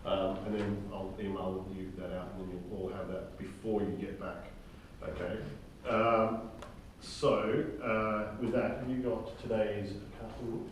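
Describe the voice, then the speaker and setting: A man leading a project, informally briefing a group at a table read in a halting, think-aloud way. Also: lowish voice, flat delivery. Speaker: project leader and director running a table read. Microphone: distant room microphone. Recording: distant, echoey room with clear noise.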